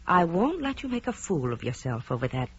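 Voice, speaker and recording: A condescending, stubborn wife with a husky voice that swings widely in pitch. She insists and patronises in vintage radio drama style, on a dull old recording. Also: big pitch swings, brisk, full character voice. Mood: insistent, scolding. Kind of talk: mid-century radio drama acting.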